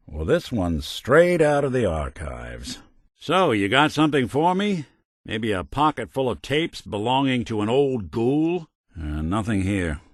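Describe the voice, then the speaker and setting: Low world-weary robot detective from a video game, sardonic noir slang, really up and down delivery, super dry studio sound. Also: male voice, dry recording. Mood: earnest, determined, then focused, wry. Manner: wry, sardonic, old-fashioned gumshoe slang. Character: weary, wry, hard-boiled male android detective with a good heart. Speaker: companion character in a video game.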